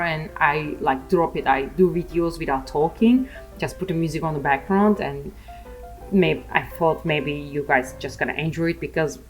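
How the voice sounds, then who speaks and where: A woman's voice: deep voice, poor audio. A young woman with a Turkish accent, chatting casually and self-mockingly over her art vlog. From Turkey. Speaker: art vlogger. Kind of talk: casual voice-over chat while drawing. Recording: faint music underneath.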